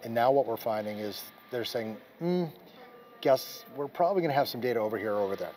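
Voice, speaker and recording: A middle-aged man being interviewed at a conference about his company's plans, talking quickly in business jargon and often restarting his phrases, recorded on a lapel mic in a conference room. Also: medium pitch, lively intonation, darkish tone, a little hiss or hum. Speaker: software executive guest. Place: conference room with a curtain backdrop.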